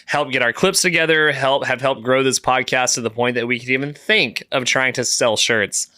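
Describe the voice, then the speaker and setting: A man co-hosting a casual comedy podcast in a studio, playful and excitable. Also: mid-range voice, moderate pace. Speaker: podcast co-host.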